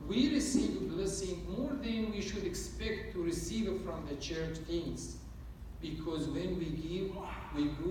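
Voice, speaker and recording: A man's voice: on the high side, darkish tone, recorded from a distance. Man, priest, earnest sermon, very echoey church, faint hum behind. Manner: earnest, repetitive preaching cadence.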